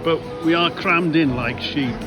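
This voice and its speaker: A man with a slightly high voice talks slowly into a handheld camera, vlogging in a casual way. He rambles and repeats himself, often asking the listener questions, with loud music and crowd noise around him. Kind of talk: casual chatty vlog commentary. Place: out on a city street and inside a busy theatre auditorium. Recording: background music and crowd chatter in public spaces.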